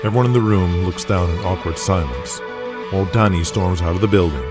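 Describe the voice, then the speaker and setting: A man's voice: sits low, brisk, over loud music. A man narrating a scripted audio drama.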